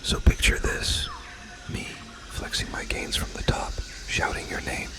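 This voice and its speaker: A man's voice: measured pace, a bit bright, natural voice. A man voicing Chad, a cocky young male gym bro with slangy, boastful talk and a whispery voice.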